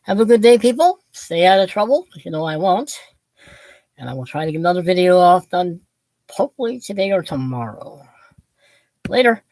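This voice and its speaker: A woman's voice: on the low side, sing-song, moderate pace, mellow, no echo, low-quality recording. A woman in her late fifties giving a casual, opinionated book talk to viewers, drifting off on tangents in a self-deprecating way. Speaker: book vlogger.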